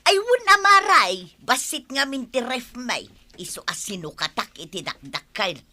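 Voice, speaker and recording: A woman acting a lively Filipino village woman, high-voiced. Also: moderate pace, no echo, exaggerated voice. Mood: complaining. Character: animated, giggly, teasing village farm woman. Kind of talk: Ilocano radio comedy drama acting.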